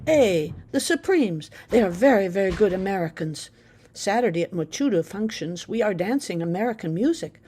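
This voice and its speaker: A woman's voice: mid-range voice, animated, moderate pace, warm tone, slight background noise, close to an everyday voice. A woman voicing an eager, chatty teenage girl in a spoken memoir. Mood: excited.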